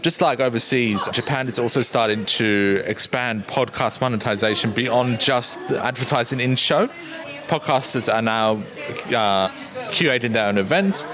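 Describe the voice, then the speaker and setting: A man from Australia, a guest giving clear, informative answers in a conference interview. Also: mid-range voice, moderate pace, music in the background. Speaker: interview guest, podcast producer. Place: busy conference venue. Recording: noisy crowd around the mic. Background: crowd chatter.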